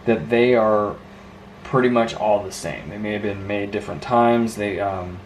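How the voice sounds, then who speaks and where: A man's voice: fairly low pitch, normal speed, slight background noise, rough recording. A man giving an informal, rambling hands-on show-and-tell as a hobbyist, with an enthusiastic, marveling manner. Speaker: vintage radio hobbyist presenting a video.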